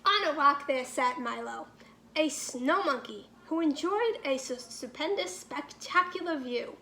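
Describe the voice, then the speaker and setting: High, bright woman reading a storybook. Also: lively intonation, a little slow, slight background noise. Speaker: teacher reading a picture book aloud. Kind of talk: expressive storybook read-aloud for children.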